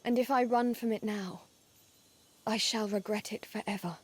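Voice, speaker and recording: An actress playing a solemn, resolute young warrior goddess in a dramatic video game, speaking in a measured, earnest way. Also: female voice, medium pitch, steady tone, husky, natural voice. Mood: solemn resolve. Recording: loud music underneath. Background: game score music.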